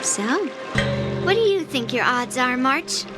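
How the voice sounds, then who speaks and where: A woman's voice: sits high, very animated, slow, over loud music, exaggerated voice. A woman voicing a teasing, self-assured young female mentor in an anime-style game. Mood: playful, encouraging. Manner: teasing, encouraging.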